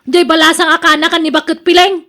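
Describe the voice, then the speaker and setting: A woman's voice: very high voice, level tone, fast talker, sharp tone. A woman with an Ilocano accent playing a jealous, nagging wife in a radio drama, accusing and sarcastic. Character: jealous, nagging, suspicious wife of a working man.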